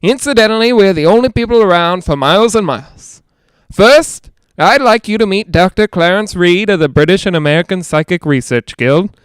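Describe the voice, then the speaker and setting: A man's voice: sits high, lively intonation, normal speed, clear, resonant tone, no echo. A man playing a chatty, skeptical radio ghost hunter in a 1940s-style audio drama, with folksy joking patter. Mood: chatty showman.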